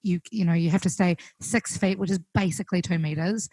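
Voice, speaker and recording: A young woman with a New Zealand accent, chatting casually as a guest musician, full of fillers and quick laughs. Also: lowish voice, mellow, no room sound. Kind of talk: casual chat on a call. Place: home, recorded remotely.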